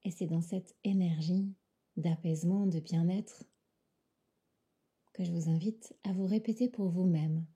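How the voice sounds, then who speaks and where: Woman, meditation guide, very mellow and dark, heavily breathy, near-whisper, calm, soothing, unhurried phrases. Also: lowish voice. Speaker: yoga nidra guide.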